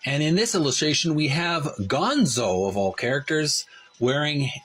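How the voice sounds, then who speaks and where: A man hosting a retro gaming channel, casually narrating a show-and-tell and often pausing mid-phrase. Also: on the high side, expressive, fairly bright tone, soft background music.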